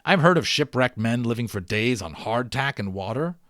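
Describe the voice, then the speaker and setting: Male storyteller, mid-pitched, expressive and enthusiastic, amateur read-aloud of a children's novel with chatty asides, dry recording. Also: normal speed, no echo. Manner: expressive, enthusiastic storyteller, emphasizes and draws out words. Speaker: amateur audiobook reader.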